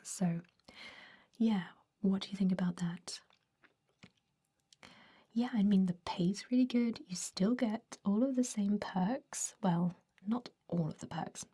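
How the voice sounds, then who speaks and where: Woman acting ancient female vampire interviewer, ASMR roleplay, breathy whisper, mellow tone, unhurried, gossipy, hesitant, faintly snobbish. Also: medium pitch. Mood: persuasive, friendly. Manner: gossipy, confiding, hesitant rambling. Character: centuries-old female vampire job interviewer, chatty, snobbish about humans. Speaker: human resources interviewer.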